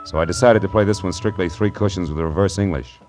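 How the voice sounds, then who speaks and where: A man's voice: deep voice, quick pace, a little mellow, lightly acted. A man acting a tough, wisecracking, cynical private detective in a 1940s radio noir, with a wry, slangy, punchy delivery. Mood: wry narration. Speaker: narrator and lead. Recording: vintage 1940s radio recording with music.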